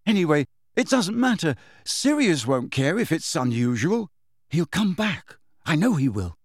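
A man narrator performs a pleading teenage boy wizard from a storybook, pitched up high. He is impatient and insistent, firing urgent questions with swooping intonation. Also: big pitch swings, measured pace, husky, dry recording, studio quality, exaggerated voice. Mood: agitated.

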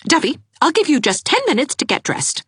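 A brisk, sharp-tongued young woman giving crisp, sarcastic orders, as a woman reader plays her. Her voice rides a little higher than the reader's own with lively pitch, and the sound is dry and close like a storybook reading. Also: no room sound.

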